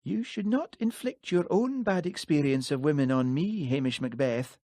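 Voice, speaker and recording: A man's voice: on the high side, lively intonation, moderate pace, dark tone, no room sound, studio quality, pitched above the performer's own voice, natural voice. A man voicing a frank, teasing young woman reporter in an audiobook reading.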